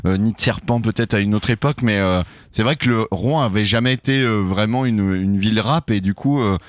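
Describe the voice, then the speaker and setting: A man's voice: on the low side, a little fast, dry recording. A male radio host interviewing a guest, talking casually with lots of hesitations and restarts. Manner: rambling, many hesitations and restarts. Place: radio studio.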